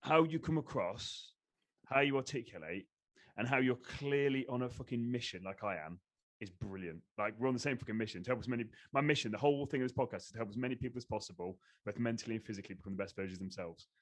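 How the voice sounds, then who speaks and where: A man's voice: medium pitch, fast. A man hosting a podcast, speaking with enthusiasm and swearing freely. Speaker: podcast host. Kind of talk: podcast conversation.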